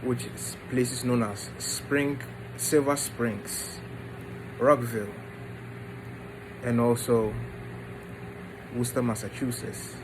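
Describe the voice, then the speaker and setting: A man tells his personal story informally, in short halting phrases with pauses. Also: mid-range voice, fairly even intonation, background noise. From Ghana and the United States. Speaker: vlogger introducing his book.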